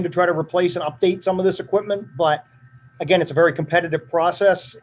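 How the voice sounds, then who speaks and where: A man presenting a fire department budget at a town meeting, matter-of-fact and steady, his voice drying up. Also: on the high side, slight background noise. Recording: dull lo-fi meeting recording.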